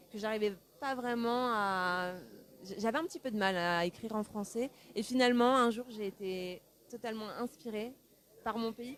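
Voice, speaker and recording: A woman who grew up in France, a singer and songwriter, answering interview questions in a thoughtful, easygoing way with easy laughs. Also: medium pitch. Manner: thoughtful, warm, laughs easily.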